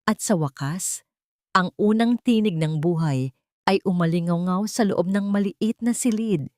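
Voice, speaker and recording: A Filipino woman tells a scripted dramatic tale. Her voice sits on the low side. The recording is dry, with very little room sound. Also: unhurried, darkish tone, no echo. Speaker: story narrator. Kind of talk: dramatic scripted storytelling narration.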